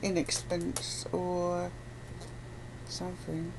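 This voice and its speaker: Female interviewer, informal and conversational, gravelly fry, faint noise behind. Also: on the low side, completely flat delivery, slow pace. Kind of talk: casual chat.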